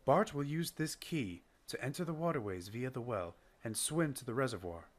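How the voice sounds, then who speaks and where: An actor playing a courteous, measured doctor who mentors and plans in an audio drama. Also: male voice, medium pitch, animated, close to an everyday voice. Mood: explaining. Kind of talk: radio-play acting.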